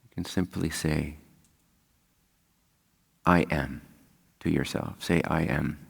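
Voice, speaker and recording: A man leading a guided meditation as a spiritual teacher, speaking calmly and unhurriedly with long pauses. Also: extremely low pitch, vocal fry, husky. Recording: long hall-like reverb.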